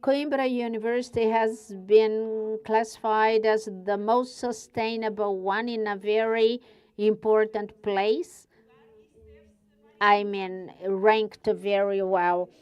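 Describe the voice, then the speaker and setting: A woman's voice: medium pitch, little pitch movement, slow, darkish tone, smooth, dull audio. A woman from Portugal with a European Portuguese accent, giving a conference presentation as a university researcher and choosing her words carefully. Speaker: guest speaker, university researcher.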